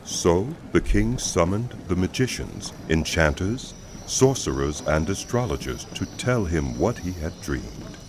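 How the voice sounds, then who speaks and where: A man giving solemn, measured, scripted dramatic narration of scripture as a storyteller. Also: low voice, very animated, background noise.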